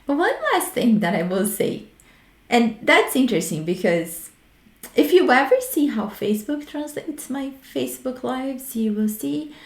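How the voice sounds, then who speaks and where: A woman's voice: fairly high pitch, lively intonation, a little slow. A woman from Brazil with a Brazilian Portuguese accent hosting a solo talk on research methods, frank and reflective, often stopping to restart her words. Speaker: host. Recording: roomy echo.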